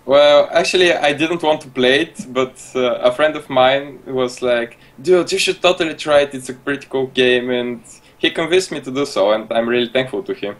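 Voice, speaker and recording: A man's voice: medium pitch, normal speed. A young man of eighteen from Bulgaria with a Bulgarian accent, giving casual interview answers as a guest over an internet call.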